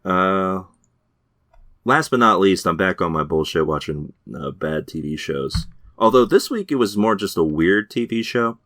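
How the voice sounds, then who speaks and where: Deep voiced guy on a podcast, lots of uh and um, dry close mic. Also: lively intonation, measured pace, a bit bright, no echo. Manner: hesitant, lots of fillers and restarts. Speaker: podcast co-host. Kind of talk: casual chat reviewing TV shows.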